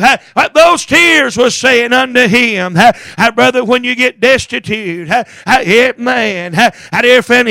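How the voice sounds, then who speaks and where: A man's voice: extremely high pitch, vocal fry, pushed and bright, no room sound. A man preaching a fervent, chanted revival-style sermon in a strained voice, punctuating his phrases with gasped grunts and a repeated term of address.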